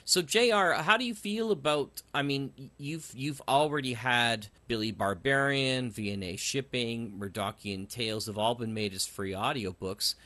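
A man's voice: medium pitch, normal speed, few pauses, sharp tone, no echo. Dry-sounding male podcast host. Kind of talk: casual conversational interview.

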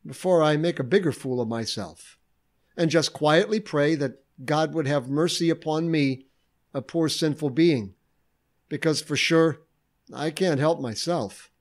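A man's voice: on the high side, a little slow. A man giving a short devotional sermon, reflective and earnest, asking questions aloud. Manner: reflective, lively preaching with rhetorical questions. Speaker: preacher.

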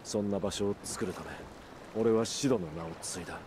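An actor playing a resolute, brooding outlaw leader in a video game, speaking earnestly and with measured gravity. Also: male voice, lowish voice, background noise, lightly acted. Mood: solemn, resolute. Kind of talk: Japanese video game cutscene acting.

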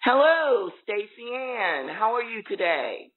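A woman's voice: a little high, big pitch swings, slow, slightly rough. A woman hosting a podcast, talking conversationally with her guest. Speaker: podcast co-host. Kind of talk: conversational interviewing. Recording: narrow, phone-like sound.

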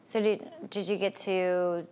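A polite, agreeable young woman, played by an actress chats in an apartment kitchen. Her voice sits a little low and stays even and accommodating. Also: unhurried, clear, resonant tone, natural voice. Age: young adult. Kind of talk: deadpan comedy sketch acting.

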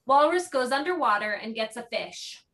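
A woman's voice: a little high, lively intonation, moderate pace, pushed and bright. A woman giving scripted narration, reading out the stage directions of a play.

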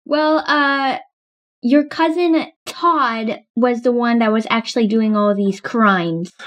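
A woman's voice: on the high side, takes it slowly, a bit bright, pitched below the performer's own voice. A woman playing a polite, matter-of-fact police officer in an improvised game roleplay. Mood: apologetic, polite.

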